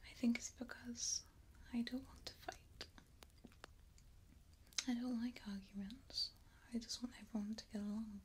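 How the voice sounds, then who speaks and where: A young woman playing a gentle, wistful, kindly goddess of the hearth in a hushed, unhurried ASMR roleplay. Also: on the high side, fairly even intonation, slight background noise, natural voice. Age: young adult. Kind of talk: soft-spoken ASMR roleplay.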